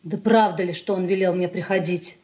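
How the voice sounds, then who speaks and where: An actress playing a discontented, nosy grown daughter in a radio play, brisk and complaining. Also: female voice, lowish voice, steady tone, a little mellow, close to an everyday voice. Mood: aggrieved.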